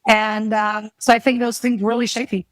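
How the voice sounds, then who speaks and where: A woman from Venezuela with a mild Venezuelan accent, a guest who candidly recounts her childhood in a measured way. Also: a little high, little pitch movement, no room sound. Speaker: guest, venture capitalist. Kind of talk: reflective personal storytelling.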